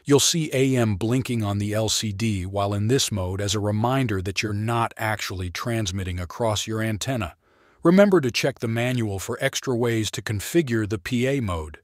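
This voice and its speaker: A man reads a scripted product tutorial in a clear, instructional manner, explaining each step plainly. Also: fairly low pitch, no echo, clean studio sound. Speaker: product demonstrator and narrator.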